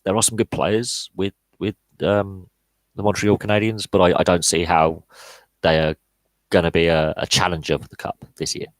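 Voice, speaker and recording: Man in his thirties, deep voice, dry close sound, casual rambling podcast talk. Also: no room sound, low-quality recording. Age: 30s. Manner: rambling, frequent fillers and restarts. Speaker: podcast host. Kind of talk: solo live podcast Q&A, casual analysis.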